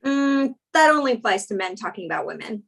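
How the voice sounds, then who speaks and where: A woman playing a frank twenty-seven-year-old girlfriend in a screenplay table read, speaking in a dry, deadpan way. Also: on the high side, fairly quick, soft background music. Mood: wry, careful.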